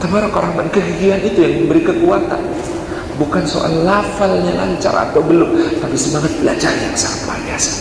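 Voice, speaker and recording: A man giving a lively, storytelling sermon in a mosque, with high emphatic peaks. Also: fairly quick, creaky. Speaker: preacher. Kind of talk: mosque lecture. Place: mosque hall. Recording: echoey mosque hall, faint hiss.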